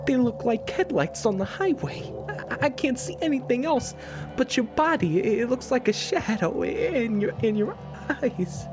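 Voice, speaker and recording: A man's voice: extremely high pitch, big pitch swings, unhurried, over music, full character voice. A man acting in an audio horror drama as a panicked, belligerent, cornered man who stammers. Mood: stunned dread.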